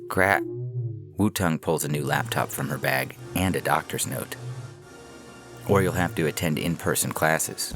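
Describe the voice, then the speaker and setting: A man reading a scripted science fiction story as a narrator, in the manner of an audio fiction podcast. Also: a bass voice. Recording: music playing behind the voice. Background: instrumental music.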